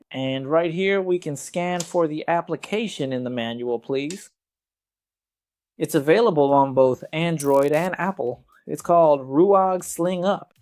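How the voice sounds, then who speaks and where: A man's voice: a little high, darkish tone. A man presenting a casual hands-on product review, talking conversationally and directly to viewers.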